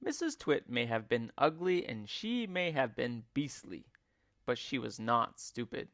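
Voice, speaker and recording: A man reading a children's story aloud as a teacher would, with deliberate, theatrical expression. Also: mid-range voice, very animated, fairly bright tone, no echo, studio quality.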